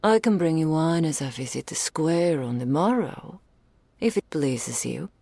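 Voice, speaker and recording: Woman playing a low, creaky, doting dragoness. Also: expressive, warm tone, no echo, full character voice. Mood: doting, delighted. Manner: archaic, ornate, unhurried, affectionate phrasing. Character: doting, regal female dragon in towering human form. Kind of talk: ASMR roleplay, intimate scripted monologue addressed to listener.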